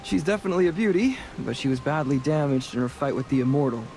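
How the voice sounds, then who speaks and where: A charming rogue of the seas in a fantasy game. His voice sits on the higher side for a man, and he chats in a breezy, self-assured, conversational way, with music underneath. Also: expressive, quick pace, darkish tone. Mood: confident, musing. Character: roguish, charming, chatty adult male sea adventurer.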